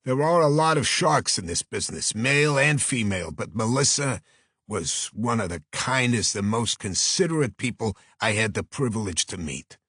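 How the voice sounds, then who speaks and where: A man's voice: on the low side, moderate pace, husky, dry recording, clean studio sound. A man voicing an earnest, effusive New York talent agent with a Brooklyn accent in an audiobook. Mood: earnest, persuasive. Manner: effusive, flattering, salesman-like. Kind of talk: audiobook character voice.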